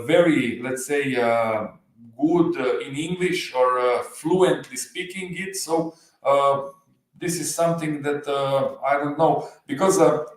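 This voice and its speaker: Slow, halting Slovenian-born man, echoey room. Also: mid-range voice. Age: 40s. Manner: halting, searching for English words. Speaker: guest. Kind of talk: casual livestream chat. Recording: roomy echo.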